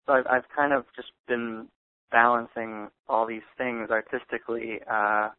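A man's voice: mid-range voice, level tone, unhurried, no room sound. A young man talking casually as an interview guest, rambling with many fillers and restarts. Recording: tinny phone-like line.

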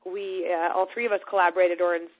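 A woman's voice: fairly low pitch, little pitch movement, quick pace. A young woman whose first language is Swedish, speaking as an interview guest, thoughtful and hesitant with fillers. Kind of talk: casual interview chat. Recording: tinny telephone line.

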